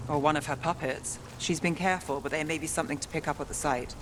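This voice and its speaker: A woman's voice: low-pitched, steady tone, fast, noisy background, lightly acted. A woman voicing a weary, guarded detective with dry, understated wit in a fantasy video game. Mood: focused, businesslike. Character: weary, wry, guarded young female detective in a fantasy city.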